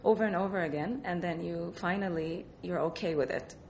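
Soft-spoken woman from Iraq. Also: lowish voice, brisk, a little hiss or hum. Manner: soft-spoken, laughs often, hesitant fillers. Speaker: artist, interview guest. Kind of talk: casual interview answers.

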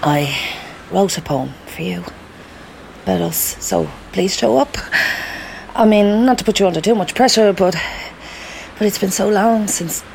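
Anxious, pleading woman, creaky voice. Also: medium pitch, darkish tone, slight background noise, low-quality recording. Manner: hesitant, halting, emotional. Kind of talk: radio drama acting.

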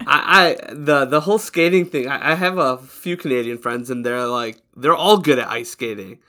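A man's voice: medium pitch, normal speed, sharp tone. A man co-hosting a podcast, upbeat and jokey, laughing a lot as he talks. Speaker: podcast co-host. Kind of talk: casual podcast chat.

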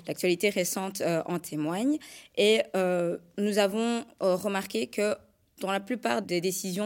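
A young woman with a somewhat low voice lays out a study's findings. She is methodical and explanatory, linking her points with frequent fillers. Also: a little mellow. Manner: explanatory, structured, frequent "donc" and "euh". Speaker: youth organisation vice-president. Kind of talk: panel presentation.

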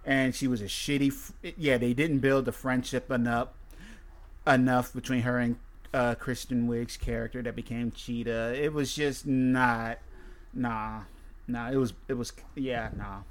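A man's voice: medium pitch, flat delivery, measured pace. A man hosting a podcast, chatting casually in a blunt, profane, rambling way. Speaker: podcast host. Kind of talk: casual chat.

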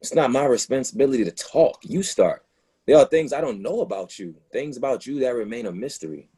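A man acting in a play reading as a wounded, confrontational young boyfriend, with a slightly husky, halting delivery. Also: mid-range voice, lively intonation, mellow. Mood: probing.

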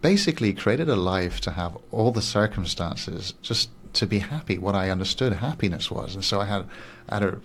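A Swiss-born man with a very deep, low voice talks things over thoughtfully on a radio show. He speaks softly and often repeats a word as he searches for the next thought. A faint hum of background ambience sits under his voice. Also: animated, normal speed. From Switzerland. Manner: soft-spoken, thoughtful, repeats words as he gathers thoughts. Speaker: guest coach. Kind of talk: reflective radio interview.

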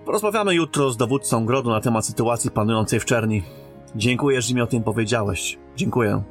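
A man acting a courteous royal emissary and captain in a fantasy audio drama, calm and authoritative. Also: mid-range voice, fast, soft background music, lightly acted.

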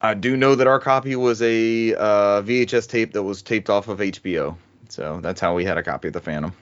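A man with a fairly low voice banters casually as a podcast co-host. He chimes in with questions and comments, on slightly muffled, lo-fi audio. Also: fairly quick.

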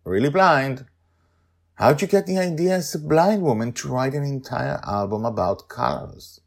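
A man's voice: medium pitch, moderate pace, creaky, pitched above the performer's own voice. A man voicing a cheerful, starstruck mother who gushes and stumbles in effusive exclamations. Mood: starstruck gushing. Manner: effusive, breathless exclamations and hesitations. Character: gushing starstruck woman, cheerful and flustered mother.

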